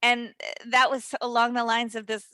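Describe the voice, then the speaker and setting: A middle-aged woman gives casual interview answers in a very bright, forward voice. She is frank and joking, stressing her points, and the sound is dry and close. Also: a little high, little pitch movement, moderate pace, no echo. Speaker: guest, voice actor and dialect teacher.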